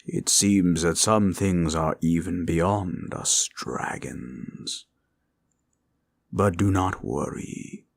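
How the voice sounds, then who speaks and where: A man voicing a gentle, protective dragon in an intimate roleplay, speaking formally and solemnly, with a gravelly, sometimes breathy voice. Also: low-pitched, exaggerated voice. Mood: tender, protective. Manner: slow, solemn, archaic formal phrasing. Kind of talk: intimate comfort roleplay audio.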